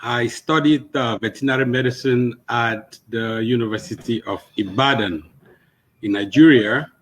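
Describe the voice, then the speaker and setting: A man, a veterinarian, introducing himself on a panel with steady storytelling and some hesitations. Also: medium pitch, moderate pace. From Cameroon.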